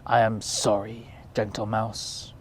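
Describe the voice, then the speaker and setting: A proud warrior lion from a storybook, voiced by a man. It speaks loudly and grandly, making firm declarations. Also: fairly low pitch, flat delivery, slow pace, slight background noise. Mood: apologetic.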